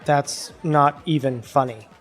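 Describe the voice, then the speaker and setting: A man's voice: a little high, slow pace, faint music behind. A man playing a nervous, defensive law firm associate in an audio drama, speaking hesitantly. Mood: defensive, uneasy.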